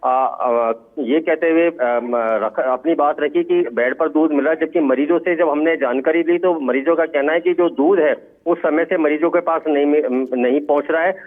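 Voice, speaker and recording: Halting male reporter on a tinny line. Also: a little high. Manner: halting, repetitive delivery. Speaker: field news reporter. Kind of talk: live unscripted news report. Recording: tinny phone-like radio-quality line.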